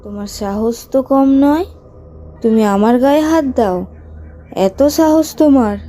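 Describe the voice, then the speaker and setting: A woman voicing an eerie possessed village wife, seductive and menacing, with drawn-out words and a rural Bengali dialect, in a horror cartoon. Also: sits high, warm tone. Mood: menacing, indignant. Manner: slow, drawn-out delivery.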